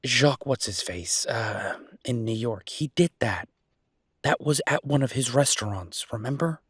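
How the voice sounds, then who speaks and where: A man playing an earnest, down-to-earth chef boyfriend in an audio roleplay, talking casually and a little hesitantly in a husky voice. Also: mid-range voice, expressive, a little slow, a bit bright, no echo, clean studio sound. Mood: wry, opinionated. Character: enthusiastic down-to-earth chef boyfriend opening a mountain restaurant. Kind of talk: audio roleplay acting, casual one-sided conversation.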